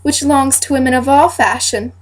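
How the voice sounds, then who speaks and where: A young woman playing a wronged, dignified queen who defends her honour in deliberate, declamatory Shakespearean verse. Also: high voice, natural voice. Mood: wounded, defiant. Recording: noisy amateur home recording.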